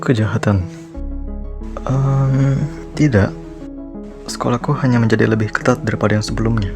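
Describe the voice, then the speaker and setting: A man acts out a reluctant schoolboy in his teens with a fairly low voice. He is skeptical and hesitant, a visual novel lead, with soft music underneath. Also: fairly even intonation, normal speed, natural voice. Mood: skeptical, dismissive. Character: reluctant teenage schoolboy, skeptical and easily alarmed.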